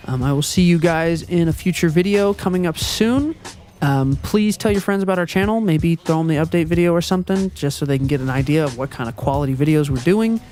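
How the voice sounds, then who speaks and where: A man with a high, youthful-sounding voice talks through channel updates in a rambling, hesitant way, full of ums and self-corrections. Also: a little fast, a little mellow, soft background music. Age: adult. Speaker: channel owner and video editor. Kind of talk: casual channel update monologue. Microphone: voiceover recorded before the gameplay footage.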